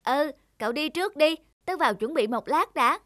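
A woman voicing a lazy, excitable teenage schoolboy in a cartoon. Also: high-pitched, moderate pace, dry recording, studio quality. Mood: sly, amazed. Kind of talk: cartoon dubbing.

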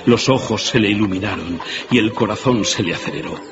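A man narrates a radio story. His voice is very deep and his delivery dramatic. Music plays clearly behind the slightly muffled recording. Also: a little fast.

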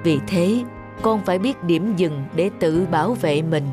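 A woman voicing a middle-aged father in an animated moral tale, patient, protective and earnest. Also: on the low side, unhurried, music in the background, close to an everyday voice. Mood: earnest, caring.

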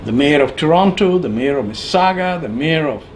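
A man in his sixties giving an opinion monologue to camera, emphatic and sardonic, with deliberate phrasing. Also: a little high, expressive. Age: 60s. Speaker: political commentator. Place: living room with bookshelves, indoors. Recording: clear background noise.

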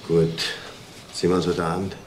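An actor plays a closed-off, weary husband in a crime drama. The man is low-pitched, quick and dismissive, heard distantly in a very reverberant space. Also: monotone, a little mellow, slight background noise, natural voice. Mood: casual, brisk. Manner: terse, dismissive. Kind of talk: dramatic dialogue acting. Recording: very echoey, distant, music behind.

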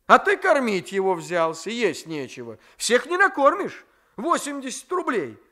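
A man's voice: very high voice, wildly swooping pitch, normal speed, bright, forceful tone, pitched above the performer's own voice, heavily acted. A man reading a novel aloud as a bossy, indignant old provincial grandmother who scolds and exclaims fondly.

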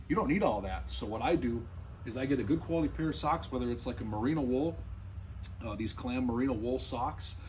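Thirties male outdoors host, mid-pitched, earnest tips to camera in a small indoor room, faint background hiss. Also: darkish tone. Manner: earnest, enthusiastic, repeats himself and restarts phrases. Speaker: fishing channel host. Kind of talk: casual gear-tips talk to camera.

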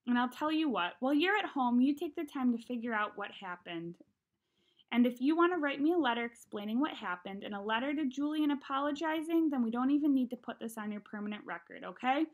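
A woman's voice: high voice, expressive, brisk. Woman reading as a stern, kindly male principal. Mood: patient, kindly.

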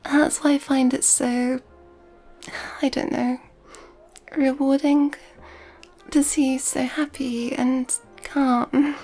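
A woman playing a nurturing, gently dominant, affectionate girlfriend in an ASMR roleplay, in a soft breathy whisper. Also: sits high, level tone, slow, pauses often, faint music behind, full character voice. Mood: tender, reassuring.

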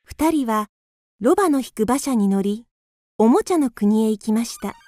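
Female narrator, calm storybook reading, slightly high voice. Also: normal speed, no room sound, studio quality.

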